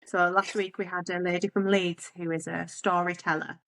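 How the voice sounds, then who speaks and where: A woman's voice: on the low side, flat delivery, normal speed, a bit bright, poor audio. Chatty Yorkshire woman hosting. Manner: chatty, laughs often. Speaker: podcast host. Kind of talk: friendly interview.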